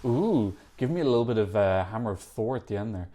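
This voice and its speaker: A man's voice: lowish voice, expressive, fairly quick, mellow. Chatty man reacting to music. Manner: chatty, enthusiastic, opinionated, self-correcting. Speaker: Eurovision reaction host. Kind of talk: casual reaction commentary. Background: faint music from the songs being reacted to.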